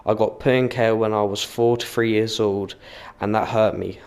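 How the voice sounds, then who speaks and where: Smooth, low-voiced young man. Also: fairly even intonation, moderate pace, darkish tone. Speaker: young film participant. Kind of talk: personal testimony for a short film.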